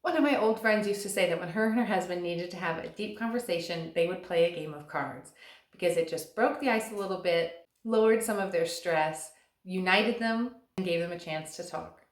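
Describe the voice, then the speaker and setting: A woman in her thirties giving earnest, encouraging advice to camera, slowing down to stress key words. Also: on the low side. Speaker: vlogger and stay-at-home mom. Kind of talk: scripted advice talk to camera. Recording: echoey room.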